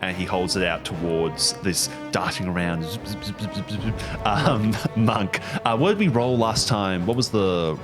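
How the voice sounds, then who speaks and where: A man improvising narration as game master of a tabletop game, in a hesitant, halting way. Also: low-pitched, music in the background.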